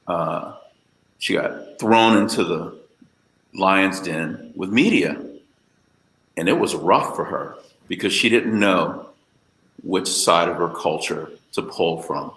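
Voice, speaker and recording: A man's voice: low voice, a bit bright, echoey room. A man speaking earnestly on a panel discussion, emphatic and with frequent fillers.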